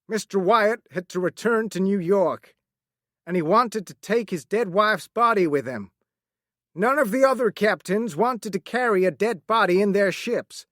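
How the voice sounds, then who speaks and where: A man voicing a loud, commanding sea captain. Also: sits high, lively intonation, a little slow, no echo, studio quality, voice pushed up. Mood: grave recounting. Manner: shouting orders over a storm.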